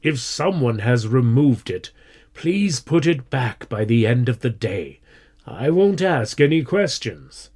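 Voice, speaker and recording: A mild, worried schoolteacher from a storybook as a man reads him. He speaks slowly and earnestly, with a pleading edge. His pitch moves freely as he addresses his class. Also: animated, a little mellow, lightly acted.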